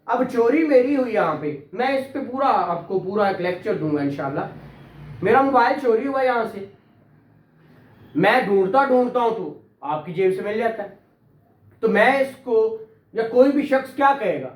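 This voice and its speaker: A man's voice: high-pitched, lively intonation, normal speed. A man with a Pakistani Punjabi accent lecturing on law to a class, repeating key phrases and prompting students with questions. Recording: echoey, reverberant room.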